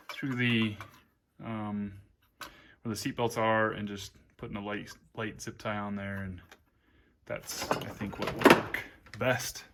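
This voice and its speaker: A man giving a casual, hands-on how-to walkthrough as an amateur, talking haltingly as he pieces his sentences together while he works. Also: lowish voice, sharp tone, slight background noise. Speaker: amateur DIY how-to video maker.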